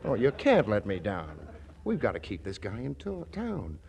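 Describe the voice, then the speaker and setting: Low, pushy old-radio schemer. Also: male voice, wildly swooping pitch, moderate pace, creaky, darkish tone, heavily acted. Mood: urgent. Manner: urgent, persuasive, slick. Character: pushy, wheedling city schemer sweet-talking his girlfriend. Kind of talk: 1940s radio comedy acting. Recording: noisy 1940s radio broadcast recording.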